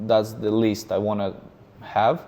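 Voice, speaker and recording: A man in his thirties, a guest in an interview, speaking casually and haltingly with many fillers and restarts. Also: lowish voice, flat delivery, mellow. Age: 30s. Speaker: guest, freelancer.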